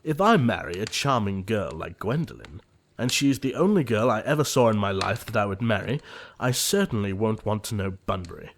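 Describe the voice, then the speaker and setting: A man playing an earnest, easily exasperated young gentleman suitor in an audio comedy, formal and theatrical. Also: on the low side, animated, brisk, gravelly. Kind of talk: audio play comedy of manners.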